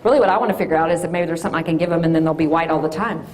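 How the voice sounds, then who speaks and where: A woman, an orthodontist giving a loud, lively classroom talk to children. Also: sits low, very fast. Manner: loud and lively, sing-song call-and-response.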